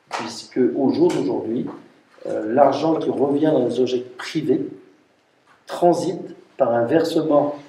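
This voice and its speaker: A man gives an explanatory council briefing in a mellow, middle-pitched voice, repeating points for emphasis. He sounds some distance from the mic in a roomy space, with faint noise and clicks. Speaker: mayor chairing a municipal council meeting. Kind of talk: formal council briefing, spoken off the cuff. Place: council meeting room.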